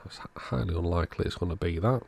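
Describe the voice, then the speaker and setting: A man, host of an electronics repair video, calmly thinking aloud as he methodically works on a radio at his workbench. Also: low-pitched, fast, creaky, a little hiss or hum. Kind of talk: casual narrated repair walkthrough.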